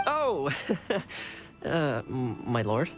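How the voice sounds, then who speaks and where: Loyal young retainer, hugely swooping intonation, wry, video game, music behind. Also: male voice, mid-range voice, wildly swooping pitch, very slow, full character voice. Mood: flustered.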